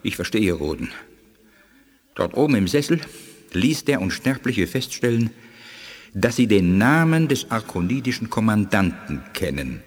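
A composed alien scholar speaks with husky, measured thoughtfulness and lively intonation. His voice hangs in a long reverb, in the style of an old radio play. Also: lowish voice, full character voice. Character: wise alien male scientist, thoughtful and composed. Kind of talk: 1980s radio play acting.

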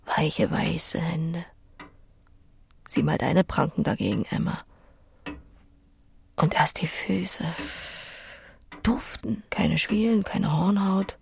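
A woman's voice: fairly low pitch, takes it slowly, gravelly, long pauses, a little hiss or hum, exaggerated voice. An actress in an audio drama playing an earthy, lonely farm woman who speaks in a plain, musing, matter-of-fact way, blunt but tender. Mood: curious, tender. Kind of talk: audio drama acting with inner monologue.

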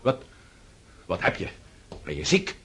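A man's voice: fairly bright tone, natural voice. A male actor playing an older scheming prince and father in a radio drama, coaxing and flustered, stumbling over repeated words. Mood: bewildered, indignant. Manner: halting, repeats words, coaxing with questioning hums.

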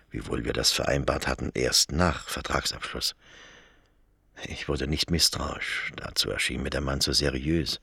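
Very deep, gravelly, weary confessor. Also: male voice, pushed and bright, no room sound, clean studio sound, heavily acted. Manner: sober, measured, confessional. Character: weary, embittered man reading his farewell letter. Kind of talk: radio drama monologue.

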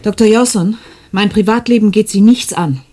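A woman's voice: mid-range voice, normal speed, warm tone, a little hiss or hum, natural voice. An actress playing a guarded, indignant woman in a German radio play. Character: indignant, guarded woman defending her privacy.

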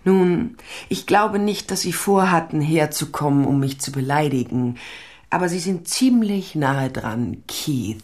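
Low female voice, dry wit, self-possessed private eye, ex-cop, crime radio play. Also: expressive, unhurried, darkish tone. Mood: challenging. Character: tall sardonic female private detective, former police officer. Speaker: lead actor and first-person narrator. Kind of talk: crime radio drama, dialogue and intimate narration.

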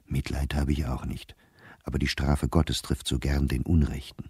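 An actor playing a sober, weary male diarist in wartime, reading his entries in a measured, deliberate way for a radio play. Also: very deep voice, level tone, darkish tone, slightly rough, no room sound, clean studio sound, full character voice. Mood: grave, matter-of-fact. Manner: measured, deliberate, clipped diary phrasing.

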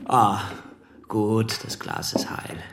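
An actor playing a fussy man muttering to himself in a radio drama, with loud clinking noise around him. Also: lowish voice, takes it slowly, vocal fry. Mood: flustered, relieved.

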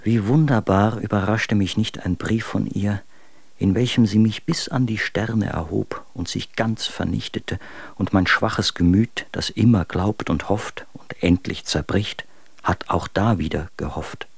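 Embittered husband for literary radio drama: low register, scornful, very dry recording. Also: male voice, unhurried, creaky, husky, no room sound, heavily acted. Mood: wounded. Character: bitter, contemptuous husband writing letters about his wife. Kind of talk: dramatic reading of old letters in a radio play.

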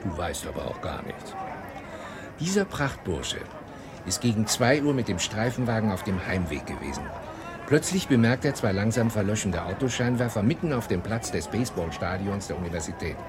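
A teasing scandal-monger, radio drama. Fairly low voice, relishes the tale, busy crowd around him. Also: male voice, background noise. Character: teasing male Texan gossip relishing a scandal. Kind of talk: radio drama dialogue, anecdotal storytelling.

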